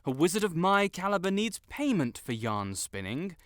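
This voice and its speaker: A man's voice: high-pitched, big pitch swings, moderate pace, no echo, studio quality. A man playing a pompous, melodramatic wizard in an audio roleplay, with flowery, theatrical speech and blurted self-corrections. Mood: haughty, dramatic. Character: haughty, melodramatic male wizard, secretly fond tsundere. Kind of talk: scripted audio roleplay to the listener.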